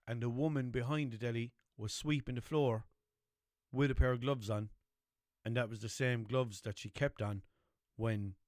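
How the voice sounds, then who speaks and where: A man's voice: medium pitch, a little mellow, dry recording, studio quality. A young man with a Limerick accent hosting a podcast, rambling casually, swearing freely and checking in with listeners. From Ireland. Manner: chatty, rambling, swears freely, asks listeners "know what I mean". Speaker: podcast host.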